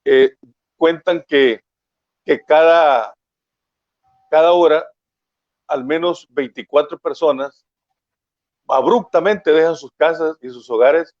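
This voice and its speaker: A man hosting a radio interview program, speaking with many pauses and filler sounds. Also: a little high, a little mellow, no room sound, muffled recording.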